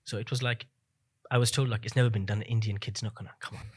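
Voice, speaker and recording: A man in his thirties, a podcast guest, telling his story in a fast, reflective way with many fillers. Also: lowish voice, fairly even intonation, slightly rough. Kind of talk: casual interview chat.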